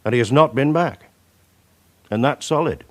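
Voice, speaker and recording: A man playing a shrewd senior police officer in a radio crime drama, authoritative, clipped, deliberate and sceptical. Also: mid-range voice, close to an everyday voice. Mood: firm, sceptical.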